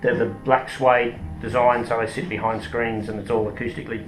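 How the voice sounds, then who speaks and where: A man's voice: mid-range voice, little pitch movement, brisk. A man with an Australian accent explaining products in an informal interview, in a hesitant, explanatory way. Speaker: company product manager being interviewed. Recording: roomy echo with music behind.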